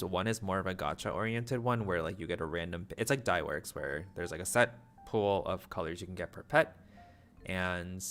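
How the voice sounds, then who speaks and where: A young man with a somewhat low voice chats his way through a game stream. His delivery is flat and low-energy, drifting into jokey, self-deprecating asides. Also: a little hiss or hum. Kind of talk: casual livestream chat.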